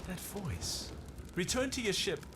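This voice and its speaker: Game role: nervous haunted treasure hunter, high and very animated, theatrical and halting, heavy effects noise behind. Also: male voice. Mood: shaken, wistful. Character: anxious, haunted male treasure-seeking guide in a sci-fi game. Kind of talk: dramatic video game character dialogue.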